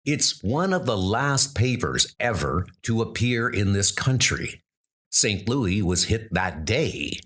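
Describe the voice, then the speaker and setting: Casting: man as earnest schoolteacher-scholar for an audio comic, measured pace, deep-set voice. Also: sing-song, fairly bright tone, heavily acted. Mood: earnest, puzzled. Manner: deliberate, probing. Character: earnest, bookish male schoolteacher turned wartime historian.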